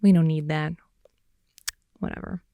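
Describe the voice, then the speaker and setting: A young woman improvising an intimate ASMR girlfriend roleplay, rambling in a playful, slangy way and slipping between soft whispers and more animated talk, close to the mic. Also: deep voice, a little slow, gravelly, clean tone, no room sound, dull audio. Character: wide-awake chatty girlfriend, silly and playful. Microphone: close microphone, dry sound.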